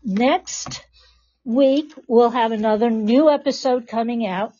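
A woman's voice: fairly high pitch, slow, dark tone, dull audio. A woman hosting a live podcast and teaching a class, speaking with encouraging, reassuring prompts. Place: classroom with about twenty people.

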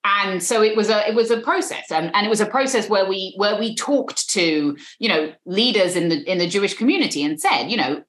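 A woman's voice: medium pitch, lively intonation, fairly quick, few pauses, a bit bright, roomy sound. A British woman in an interview, speaking earnestly and often repeating words as she thinks. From Britain.

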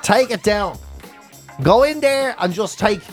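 A man's voice: high-pitched, animated, measured pace, faint music behind. A middle-aged Irish man who hosts radio, chatting casually and comically in a rambling, irreverent, mock-indignant way. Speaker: radio show host and podcast co-host.

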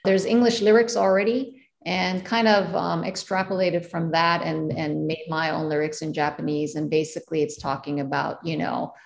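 A woman, a jazz singer, talking thoughtfully in a casual interview, pausing often to find her words. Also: low voice, little pitch movement, vocal fry. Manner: thoughtful, many fillers and restarts. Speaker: jazz vocalist guest.

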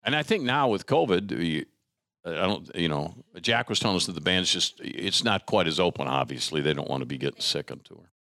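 A man's voice: deep voice, animated, a little fast, sharp tone, no echo. A middle-aged man, hosting a casual conversational interview. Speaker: interviewer, host.

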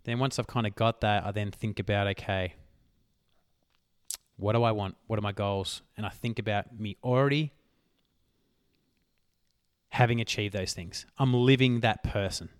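A man hosting a solo motivational podcast, talking emphatically and swearing casually, his voice worn hoarse. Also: lowish voice, steady tone, moderate pace, long pauses, no echo, studio quality. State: losing his voice. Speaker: podcast host.

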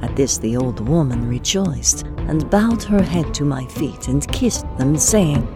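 A woman playing a wealthy lady who recounts her tale in measured, ornate, archaic English in an audio drama. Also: deep voice, animated, over music. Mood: solemn storytelling.

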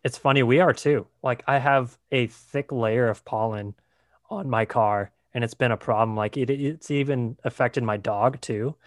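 A man's voice: mid-range voice, normal speed, no echo, studio quality. A man hosting a podcast, chatting casually and rambling a little, with lots of fillers. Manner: relaxed, rambling, many fillers like "like" and "uh". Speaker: podcast host.

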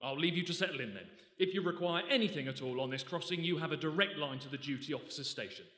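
A man's voice: on the high side, fast talker, pushed and bright. A man playing a courteous, dutiful ship's officer in a radio play, speaking politely and formally. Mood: polite, deferential. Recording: echoey, noisy live hall recording.

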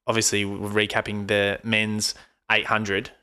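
An Australian man hosting a casual podcast, with a bright, forward tone and a teasing, easygoing manner. He laughs often and needles his guest. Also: on the low side, completely flat delivery, normal speed, clean tone. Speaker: podcast co-host.

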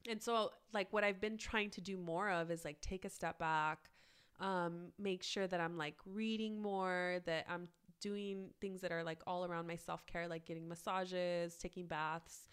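Woman, raspy from a cold, smooth low-ish tone, casual interview chat, lots of fillers. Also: fairly even intonation, a little slow, a bit bright. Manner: chatty, many "like" fillers. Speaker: guest, plant-based cookbook author.

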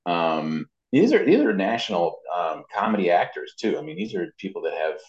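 A man's voice: deep voice, sing-song, normal speed. A middle-aged man chatting casually as an interviewee, telling anecdotes in a conversational storytelling way. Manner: chatty storyteller, acts out quoted dialogue. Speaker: interviewee, amateur voice actor. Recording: dull, roomy, echoey sound.

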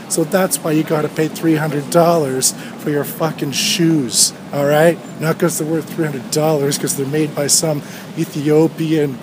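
A man in his thirties hosting a solo podcast, ranting in a sardonic, profane way while walking outdoors. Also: a little high. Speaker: podcast host. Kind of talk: solo rambling podcast rant. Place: outdoors on a street. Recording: street noise with passing traffic.

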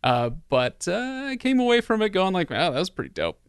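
A man with a smooth, clear voice chats casually as a podcast co-host. He hesitates and corrects himself, recorded close with almost no room sound. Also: high-pitched, sing-song, fairly quick, dry recording. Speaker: podcast co-host discussing a film. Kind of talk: casual chat.

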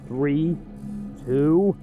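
A man acting an impatient, forceful, curt space scavenger crewman in an audio drama. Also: on the high side, drawn-out words. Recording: dull sound, music behind.

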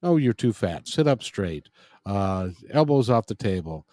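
A man hosting an interview, talking in an animated, joking way with lots of fillers. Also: fairly low pitch, a little slow, no echo, clean studio sound. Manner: animated, jokey, with many fillers. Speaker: host. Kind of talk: interview conversation.

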